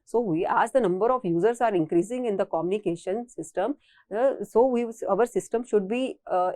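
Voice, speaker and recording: A woman's voice: fairly high pitch, a little mellow, no echo. A woman with an Indian accent giving a recorded technical lecture as a college lecturer, explaining and rephrasing her points.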